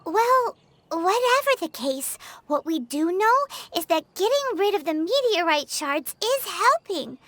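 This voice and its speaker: A woman's voice: extremely high pitch, very animated, unhurried, fairly bright tone, no room sound, exaggerated voice. A woman voicing a tiny, chatty fairy companion in a video game, eager and talkative. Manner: chatty, eager, refers to herself by name. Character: tiny chirpy floating fairy companion, female.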